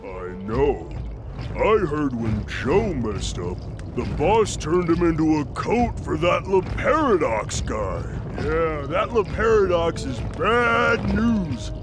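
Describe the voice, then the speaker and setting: A man playing a dim, gossiping animal henchman guard in an animated video game. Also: a little high, slow pace. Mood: gossipy, uneasy. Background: music.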